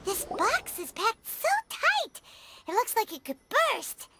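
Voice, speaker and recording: A woman voicing a small, cute, childlike fairy companion in a video game, squeaky, excitable and curious. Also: slow, very noisy, heavily acted. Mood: puzzled, curious. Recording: loud music behind.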